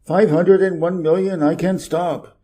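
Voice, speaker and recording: A man's voice: high voice, fairly even intonation, unhurried, dark tone. A man voicing a busy, self-important, irritable middle-aged businessman from a storybook, brusque and emphatic. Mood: busy, impatient. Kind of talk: storybook character reading.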